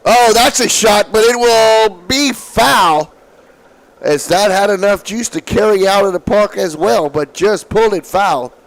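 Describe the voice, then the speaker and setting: Slightly raspy male softball announcer. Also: high-pitched. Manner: calm, measured calls, excited on big hits. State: allergies. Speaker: play-by-play announcer. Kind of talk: softball play-by-play commentary.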